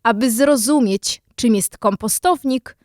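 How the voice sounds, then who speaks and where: A woman playing a calm, helpful fairy in a children's audio story, reassuring as she explains things. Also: fairly high pitch, measured pace, no room sound, clean studio sound, close to an everyday voice. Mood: instructive.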